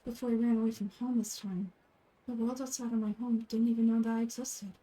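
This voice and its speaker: A woman voicing a gentle, frail young woman in a fantasy game, speaking in a soft, measured, wistful and earnest way. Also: on the high side, little pitch movement, lightly acted, low-quality recording.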